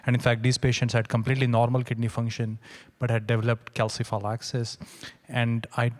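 Measured male doctor, medium-pitched. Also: completely flat delivery. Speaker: physician panelist. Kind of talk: careful explanatory panel answer. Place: large conference hall stage. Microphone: handheld microphone.

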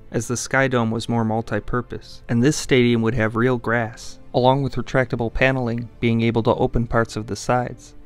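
A man narrates a scripted documentary at a middle pitch. His delivery is even and measured. A faint music bed runs under the voice-over.

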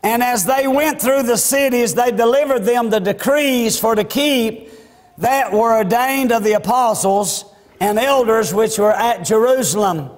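A man in his sixties preaches with a Southern US accent. His voice is pitched extremely high, and his delivery is fervent, folksy and emphatic. It rings with the long reverb of a church sanctuary. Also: a little slow. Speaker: Baptist preacher. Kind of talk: fervent church sermon with scripture reading.

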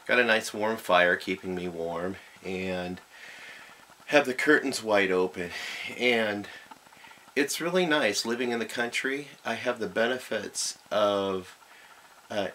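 Slow, deep-voiced easygoing homestead host. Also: male voice, fairly bright tone, slight background noise, rough recording. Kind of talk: relaxed vlog talk to camera. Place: cozy home office by a fireplace, indoors.

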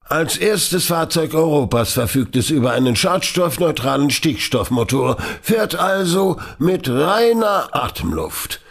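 A pompous, husky aristocrat. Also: male voice, fairly high pitch, sing-song, a little slow, heavily acted. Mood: pompous, proud. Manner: pompous, ceremonious presenting. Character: grandiose, self-satisfied aristocratic male financier prince. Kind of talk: German audio drama acting.

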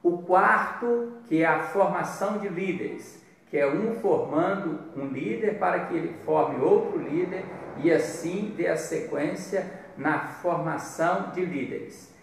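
A man in his fifties with a Brazilian accent, preaching a devotional in a measured, deliberate way with pauses. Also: fairly high pitch, a little hiss or hum. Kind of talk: devotional sermon with Bible reading. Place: small bare hard-walled room indoors. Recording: very echoey room, distant mic.

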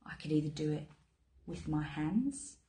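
An actress in her thirties with a British accent playing a menacing, controlled crime boss in a dramatic monologue, deliberate and threatening. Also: low-pitched, animated, dark tone. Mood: menacing, calm. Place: small plain indoor room.

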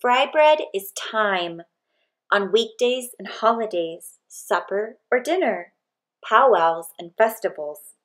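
A woman narrating a picture book aloud expressively, with deliberate phrasing and pauses between short phrases. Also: mid-range voice, expressive.

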